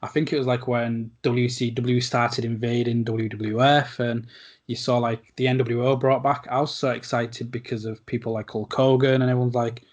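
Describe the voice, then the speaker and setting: Man, podcast interviewer, medium pitch, chatty, joking, lots of "like". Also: flat delivery, moderate pace, highs cut off. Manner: chatty, jokey, with repeated "like".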